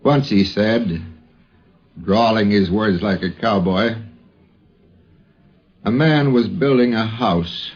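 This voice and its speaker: A man, a newspaper columnist, reminiscing in deliberate, well-turned literary phrases. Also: lowish voice, slightly rough. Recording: dull 1950s radio recording.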